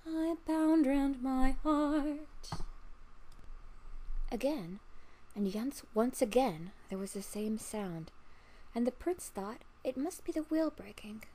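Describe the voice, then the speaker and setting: A woman's voice: medium pitch, animated, measured pace, dark tone, slightly rough, rough recording. A woman storyteller reading a fairy tale aloud as narration.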